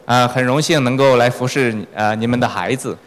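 The man standing beside a preacher in a big echoing church, relaying each phrase to the congregation in a mid-pitched voice. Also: steady tone, brisk. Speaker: church sermon interpreter. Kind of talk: consecutive interpreting of a sermon. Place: large reverberant church hall. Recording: echoey hall with long reverb.

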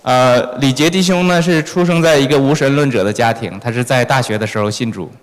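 A man's voice: mid-range voice, a little fast. A man interpreting a sermon phrase by phrase in a church. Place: large reverberant church hall. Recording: echoey hall with long reverb.

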